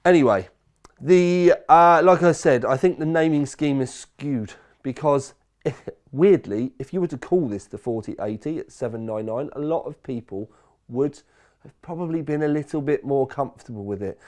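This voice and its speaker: A man with a croaky voice hosts a relaxed tech review. He talks like a mate down at the pub, meanders through his points and corrects himself on the fly. His pitch sits in the middle range. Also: normal speed. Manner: rambling, conversational, self-correcting. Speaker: tech reviewer, channel host. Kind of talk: casual chatty product review.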